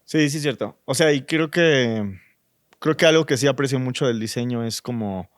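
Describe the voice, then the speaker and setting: Mexican designer guest, man, smooth resonant voice, dry close sound, chatty and reflective. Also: medium pitch, moderate pace, no room sound. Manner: thoughtful, many fillers like "este" and "o sea". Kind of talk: relaxed conversational interview.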